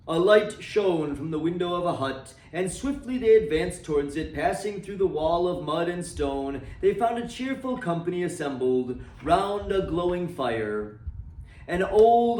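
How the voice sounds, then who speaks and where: A man reading a classic novel aloud for a library, dramatic and expressive, letting his voice sink low and soft at the ends of phrases. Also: roomy sound, distant mic, low-quality recording.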